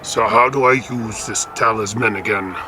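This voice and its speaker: A man playing a gruff, uncertain henchman in a fantasy audio drama, with a hesitant, grudging way of talking. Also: lowish voice, steady tone, moderate pace, natural voice. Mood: uncertain, irritated. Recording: clearly noisy background.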